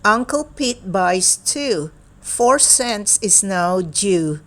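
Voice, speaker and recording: Slow-paced woman reading a children's storybook. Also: mid-range voice, lively intonation. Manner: deliberate, short phrases with playful exclamations. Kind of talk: read-aloud storytelling for young children.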